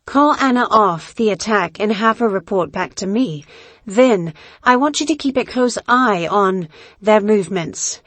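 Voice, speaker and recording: A woman acts out a pirate queen in an audio drama. She is shrewd and imperious, choosing formal words at a slow, measured pace. The sound is dry, with very little room in it. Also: medium pitch, expressive, a bit bright, dry recording. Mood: commanding. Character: commanding female pirate captain, shrewd and coolly calculating.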